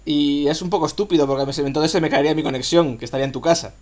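Young male guest, fast and blunt, joking, casual swearing, voice call. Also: fairly high pitch. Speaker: guest, gaming clan leader.

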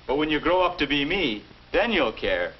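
A man's voice: a little high, big pitch swings, moderate pace. An actor playing an earnest young American man who coaches his younger self on good habits in a 1950s instructional film. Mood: earnest, persuasive. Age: young adult. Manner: instructive, earnest, rhetorical questions. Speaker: instructor and narrator in an educational film. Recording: dull, noisy 1950s film soundtrack.

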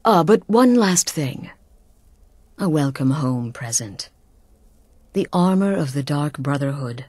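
An actress playing a poised, seductive leader of an assassins' guild in a video game, measured and knowing. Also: female voice, sits low, expressive, darkish tone, husky, dry recording, heavily acted. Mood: welcoming, knowing.

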